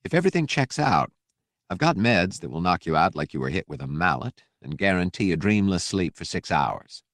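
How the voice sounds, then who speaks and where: A military doctor, as a male audiobook narrator plays him, talks briskly and plainly. He is clinical and reassuring, in a low male voice with a dry, close sound. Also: sing-song, fairly bright tone, no room sound, exaggerated voice.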